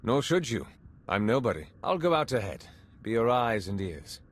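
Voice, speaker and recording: An actor playing an earnest, humble field agent in a video game, giving practical, plain-spoken briefings. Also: male voice, medium pitch, sing-song. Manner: plain-spoken, briskly informative. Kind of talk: video game cutscene acting.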